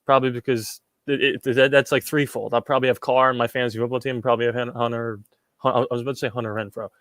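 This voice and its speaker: Young guy chatting on his stream, rambling, lots of ums, mid-pitched voice, dry close mic. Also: little pitch movement, fast, a bit bright, no echo. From Puerto Rico. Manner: rambling, repeats words, frequent ums. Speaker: livestream host answering chat. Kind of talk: casual livestream chat.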